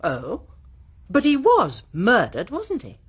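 A naive middle-aged sister in a radio drama. She is airy and tactless, her pitch swooping dramatically, on a dull recording. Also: wildly swooping pitch, slow pace, exaggerated voice. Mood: artless. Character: artless, blurting middle-aged younger sister at family gathering.

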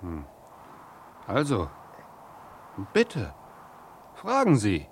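An actor in a German radio play playing an impatient young man waiting in the street, brisk and politely mocking, over a noisy ambience. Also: on the low side, huge pitch swings, heavily acted. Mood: polite, wary.

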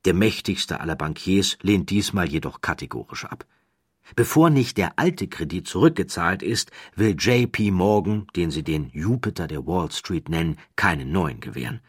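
Male audiobook narrator: low, slightly husky, measured and dramatic, recorded dry. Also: lively intonation, no echo. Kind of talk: scripted narration of a historical true story.

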